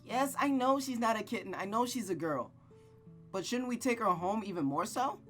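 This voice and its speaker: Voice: medium pitch, expressive, normal speed, faint music behind, pitched above the performer's own voice, close to an everyday voice. A reader voicing a headstrong, chatty young girl from a visual novel, earnest, eager and insistent. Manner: eager, emphatic, pleading. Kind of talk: visual novel read-aloud character voice.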